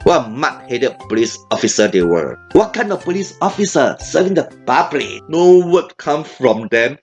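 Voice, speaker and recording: A man rants in an indignant, sarcastic commentary. His voice sits at an ordinary middle pitch. Faint music plays beneath the voice-over. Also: big pitch swings, normal speed, a little mellow. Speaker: commentary host. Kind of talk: opinionated video commentary.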